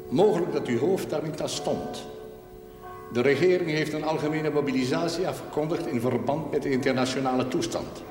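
Male actor as authoritative senior priest, formal, measured, medium pitch, echoey hall, period TV drama. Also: lightly acted. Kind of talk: Dutch television period drama acting. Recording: echoey church-like hall, music behind.

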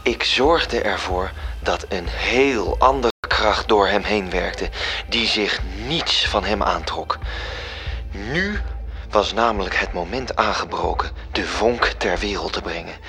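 A man playing a composed, authoritative heavenly spirit who explains a divine plan in measured, formal words in a radio drama. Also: lowish voice, lively intonation, pushed and bright, full character voice. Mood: solemn narrating. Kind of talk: radio drama narration and dialogue. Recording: music behind the voice.

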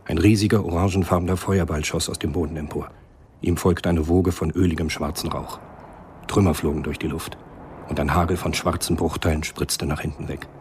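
Male narrator, extremely low pitch, sombre, measured literary delivery, radio play, faint background noise. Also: fairly even intonation, darkish tone.

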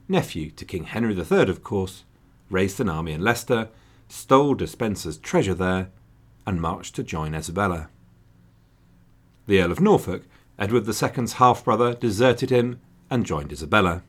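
A man's voice: low-pitched, a bit bright. A man hosting a history podcast, reading a scripted narration with wry, dry jokes along the way. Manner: wry, dryly joking asides. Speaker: podcast host.

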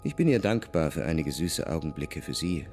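An actor playing a bored, cynical young aristocratic officer in a German radio drama, speaking in a brooding, confessional way. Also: male voice, extremely low pitch, big pitch swings, a little fast, darkish tone, faint music behind, exaggerated voice. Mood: brooding, weary. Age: young adult. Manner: confessional, brooding, literary phrasing. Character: bored, world-weary young aristocratic officer, twenty-five, restless and cynical.

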